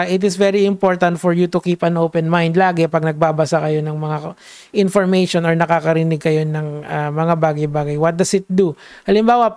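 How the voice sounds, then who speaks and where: A man with a Filipino accent talks in a casual reaction vlog. His voice sits high with a gravelly, fried edge, and the sound is close and dry. He mixes Tagalog and English and rambles like he is giving a lecture. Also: darkish tone, dry recording. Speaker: online commentator.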